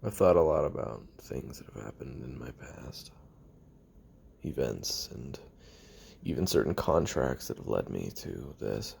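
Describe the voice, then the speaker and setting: Male actor as young ex-assassin, extremely low voice, heavy fry, weary, lonely, halting tape-diary confession, audio drama. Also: animated, darkish tone, exaggerated voice. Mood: melancholy, resigned. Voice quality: gravelly, husky. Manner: halting, brooding, trailing off with sighs and pauses.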